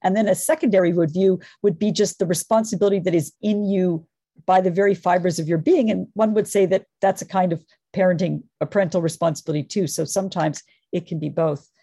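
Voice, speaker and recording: A woman's voice: lowish voice, fairly even intonation, quick pace, mellow, breathy edge, dry recording, studio quality. A woman scholar giving a conference presentation, earnest and enthusiastic, thinking aloud as she goes. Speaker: academic panelist.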